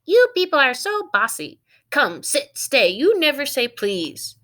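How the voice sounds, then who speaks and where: A woman's voice: high-pitched, very animated, unhurried, a bit bright, voice pushed up, heavily acted. A woman voicing a blunt, talkative female family dog in a children's storybook. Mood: bossy, cheerful. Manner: outspoken, cheeky, talkative.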